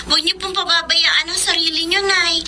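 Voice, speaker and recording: Very high-voiced dutiful young daughter. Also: female voice, little pitch movement, moderate pace, pushed and bright, slight background noise, exaggerated voice. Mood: caring, reassuring. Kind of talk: Filipino radio drama acting.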